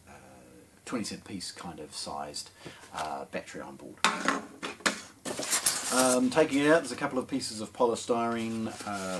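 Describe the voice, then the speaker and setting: A middle-aged man with a New Zealand accent casually presenting an unboxing, in a hesitant, improvised way with frequent pauses. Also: fairly low pitch. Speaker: product presenter at an audio shop. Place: small indoor showroom with shelves and speakers. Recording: clear background noise.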